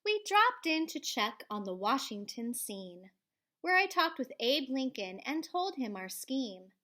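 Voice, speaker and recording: A woman reads a children's story aloud in a theatrical, expressive way. Her pitch is fairly high and swoops widely. She goes slowly, dropping into hushed tones and swelling again. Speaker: elementary school librarian reading aloud.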